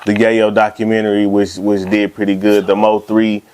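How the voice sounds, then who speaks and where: A man's voice: fairly low pitch, monotone, normal speed, darkish tone, slight background noise. A man hosting a casual interview, talking in an easygoing way with frequent restarts. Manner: easygoing, stammering restarts, "you know what I'm saying". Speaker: podcast host.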